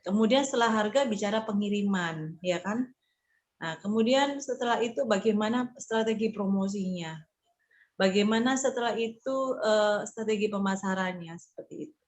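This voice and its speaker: Woman, marketing consultant, casual meeting talk, halting delivery full of fillers, mid pitch. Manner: hesitant, many fillers and restarts; informal, conversational explaining. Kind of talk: casual group discussion.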